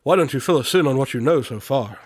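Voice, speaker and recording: A man's voice: medium pitch, animated, fast talker, darkish tone, no echo, studio quality, close to an everyday voice. A man playing a fussy, smug, clever detective in a comic radio drama, speaking in a pompous, precise manner. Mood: analytical.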